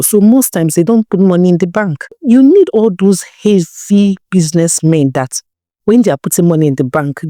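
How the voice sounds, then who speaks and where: A woman narrator plays a hard-nosed young Nigerian woman in a folktale. She lectures bluntly with scornful questions, in a low voice with a dry sound. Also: warm tone, no echo, lightly acted. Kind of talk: storybook character dialogue.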